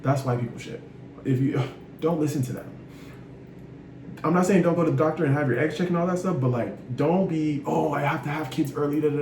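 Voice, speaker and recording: A young man in his twenties giving frank, animated advice in a casual monologue, swearing freely and throwing in sassy asides. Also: a little high. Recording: roomy echo, distant from mic, noisy.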